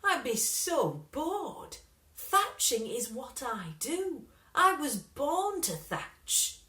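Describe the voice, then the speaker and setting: A woman voicing a glum, earnest working father in a storybook, with a slow, plaintive delivery. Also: sits high, big pitch swings, sharp tone, reverberant, voice pushed up, heavily acted.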